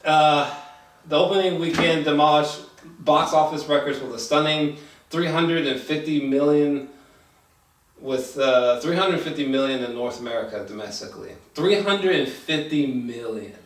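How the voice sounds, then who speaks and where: A man chatting casually and without a script as a movie reviewer, rambling, excitable and swearing freely. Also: fairly high pitch, normal speed. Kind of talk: casual unscripted chat review. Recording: roomy echo, distant mic.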